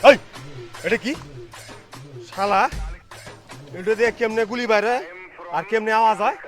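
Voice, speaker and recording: Scrappy, vengeful prankster played by a man, high voice, rural Bengali dialect, coarse taunts, comedy skit over prominent music. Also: steady tone, moderate pace, lightly acted. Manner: taunting, coarse street talk. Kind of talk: slapstick comedy skit acting. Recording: music behind the voice.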